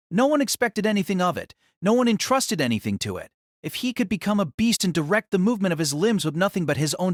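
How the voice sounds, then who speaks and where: A man's voice: fairly high pitch, no echo, clean studio sound. A man narrating a novel as a dramatic audio story, in a solemn, theatrical manner.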